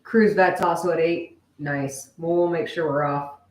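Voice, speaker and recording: A woman's voice: deep voice, fairly even intonation, unhurried. A woman chatting casually and informally with viewers as co-host of a live stream. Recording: echoey room.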